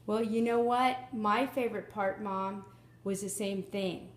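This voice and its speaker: A middle-aged woman chatting casually about a picture book, engaged and expressive, commenting and asking questions. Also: mid-range voice, a little slow. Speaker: daughter listening and commenting on a story. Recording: roomy echo around the voice.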